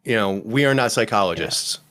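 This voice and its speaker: A man's voice: on the low side, lively intonation, brisk, a bit bright. A man hosting a podcast in casual chat, rambling and self-deprecating, with many restarts. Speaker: podcast host.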